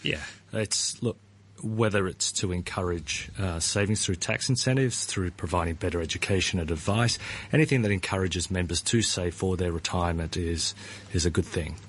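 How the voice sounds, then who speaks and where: Man, middle-aged, guest on a talk show, husky, hedging delivery. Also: low-pitched, moderate pace. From Australia. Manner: hedging, often starts with "look", repeats words. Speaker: pension ratings firm founder. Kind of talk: radio interview guest.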